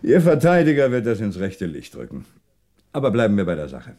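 A man's voice: lowish voice, wildly swooping pitch, fairly quick, mellow. A male actor playing a sarcastic, relentless French police commissioner who probes pointedly in a 1960s German radio crime drama. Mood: probing, sardonic. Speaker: police commissioner interrogating suspects.

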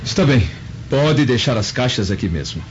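An actor in a radio drama playing a proud, dignified Roman husband with a formal, measured manner. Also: male voice, medium pitch, animated, close to an everyday voice. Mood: curt, curious. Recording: muffled radio drama, faint music.

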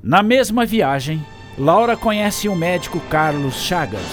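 Animated Brazilian male narrator over music. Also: sits high, a little slow. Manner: dramatic storytelling. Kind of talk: scripted radio drama narration. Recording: music under voice.

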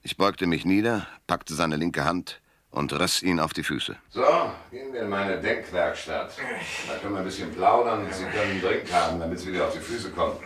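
Low-voiced hard-boiled private detective. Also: male voice, brisk, a bit bright, roomy sound, slight background noise. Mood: cool, threatening. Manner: dry, mocking, coolly self-assured. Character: hard-boiled, sardonic male private detective, narrating his case. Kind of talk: German radio crime drama acting with first-person narration.

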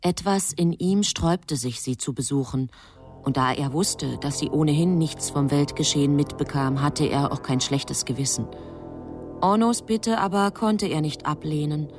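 A woman narrating a German radio drama in a sober, measured, literary manner. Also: low voice, a little mellow, soft background music.

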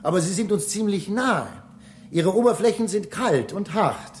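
A man's voice: sits high, animated, normal speed, a little hiss or hum. A man playing a human space-station engineer in a radio drama, explaining things emphatically and with some impatience. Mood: patient, emphatic. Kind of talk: German radio drama acting.

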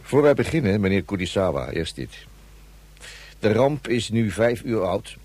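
Low, husky, sardonic inspector. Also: male voice, big pitch swings, unhurried, darkish tone, dry recording, exaggerated voice. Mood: weary sarcasm. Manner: dry, officious, sarcastic. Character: weary, sardonic male police inspector taking a statement. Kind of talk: radio drama acting.

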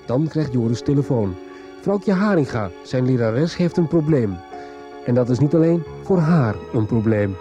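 A male radio serial narrator with a mid-range voice reads a scripted recap. He sounds like a deliberate storytelling announcer, with music clearly playing underneath. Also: mid-range voice, expressive, highs cut off.

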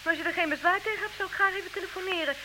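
A woman's voice: very high voice, rapid-fire. An actress playing a flustered, evasive genteel lady in a comic radio play, hesitant and fluttery but polite. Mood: polite, fussy. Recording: noisy old radio play recording.

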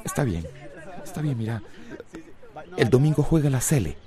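A man's voice: mid-range voice, very animated, normal speed, warm tone, some chatter behind, lightly acted. A Costa Rican man playing a remorseful father pleading hesitantly with his estranged son in a radio soap opera.

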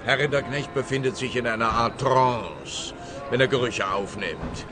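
A man's voice: mid-range voice, measured pace, background noise, lightly acted. A man playing an earnest, officious official who explains things solemnly in a radio play. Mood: solemn, pleased.

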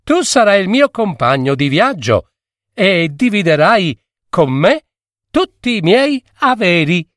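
Male narrator as loyal fairy-tale tailor, kindly, consoling, lifted pitch, dry sound. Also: very animated, slow, fairly bright tone, no room sound, full character voice. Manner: consoling, reassuring.

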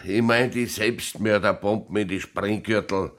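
A deep-voiced Bavarian man in a radio crime drama. He is a middle-aged pub regular who talks in broad dialect, dry and sceptical, always probing and needling. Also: steady tone, unhurried. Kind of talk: naturalistic German radio crime drama acting.

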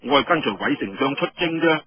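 A storyteller plays an easygoing young male commander in an audio story, modest and teasing. His husky, lively voice comes through a muffled recording. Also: mid-range voice, a little fast, no echo. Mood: modest, dismissive. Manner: casual, teasing.

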